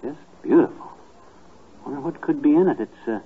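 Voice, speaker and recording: An actor playing a middle-aged, blustery, fibbing husband in a 1950s radio comedy, talking in a folksy, colloquial manner. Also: male voice, mid-range voice, animated, brisk, slightly rough. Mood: politely impressed. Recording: muffled, narrow-band 1950s radio recording.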